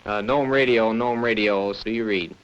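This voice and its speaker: A man making halting, procedural aviation radio calls as a small-plane pilot in 1960. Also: fairly low pitch, steady tone, fairly bright tone. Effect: two-way radio. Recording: dull, noisy 1960 aircraft radio sound.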